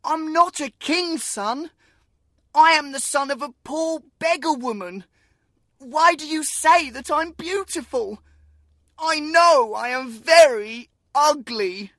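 A man's voice: very high voice, slow, pushed and bright, dry recording, studio quality, voice pushed up, heavily acted. A man voicing a sad, humble young boy in a storybook reading, earnest and pleading. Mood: distressed.

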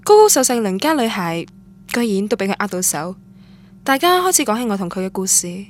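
An actress playing a young student looking back on lost love, narrating reflectively in Guangdong Cantonese in a radio drama. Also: female voice, mid-range voice, very animated, a little fast, natural voice. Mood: wistful. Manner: wistful, reflective, literary. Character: wistful young woman student recalling lost first love. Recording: music bed under voice.

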